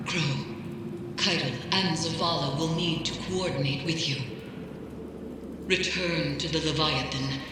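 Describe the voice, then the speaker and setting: Slow, solemn female mystic. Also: low-pitched, fairly even intonation. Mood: solemn, urgent. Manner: solemn, portentous, deliberate. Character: grave female mystic warning of looming doom. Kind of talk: dramatic scripted game narration. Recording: very echoey hall, noisy ambience.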